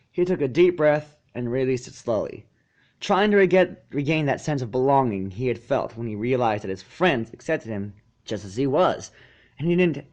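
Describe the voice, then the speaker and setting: A man reading fan fiction aloud as narrator, sometimes stumbling and repeating a phrase. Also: medium pitch. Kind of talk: scripted story narration.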